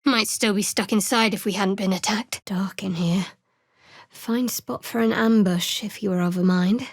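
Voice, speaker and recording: A woman playing a guarded, sardonic young cleric of a dark goddess in a fantasy video game, with a dry, wry, clipped delivery. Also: medium pitch, steady tone, moderate pace, no room sound, natural voice. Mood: hushed, wary.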